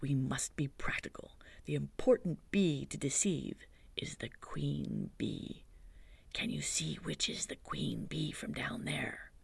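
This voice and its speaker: A storybook reader voicing a gentle, slow-witted toy bear who talks in a soft, breathy half-whisper, slow and thoughtful. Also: medium pitch, expressive, dry recording, full character voice. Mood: conspiratorial whisper.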